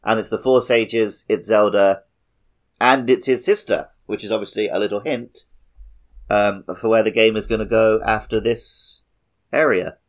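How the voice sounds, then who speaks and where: Low-voiced man chatting casually. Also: steady tone, moderate pace. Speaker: podcast host. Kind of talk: casual chat about a video game.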